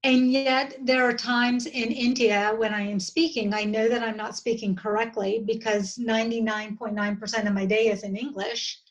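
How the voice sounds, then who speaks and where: A woman of about fifty with an American accent, talking earnestly and haltingly as a guest in an interview, often restarting her sentences. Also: fairly high pitch, level tone, poor audio. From southern United States. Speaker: podcast guest. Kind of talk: reflective interview conversation.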